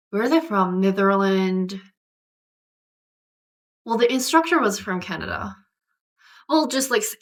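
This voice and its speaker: A young woman from Korea, native speaker of Korean, talks straight to the camera in a casual solo vlog. She thinks out loud, restarts her sentences and fills them with likes, and her pitch jumps up when something excites her. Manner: chatty and reflective, many likes and restarts. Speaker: vlogger.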